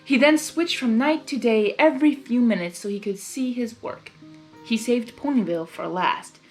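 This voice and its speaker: A woman hosts a fan fiction reading in a fairly high voice. She narrates expressively and chats informally as she reacts, over faint background music. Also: normal speed. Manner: chatty, expressive. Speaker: story reader and host.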